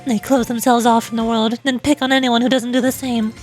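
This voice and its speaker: A woman's voice: fairly high pitch, flat delivery, a little fast, soft background music, natural voice. A woman voicing a tough, scornful gang girl in an anime game, blunt and combative. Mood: scornful, hostile.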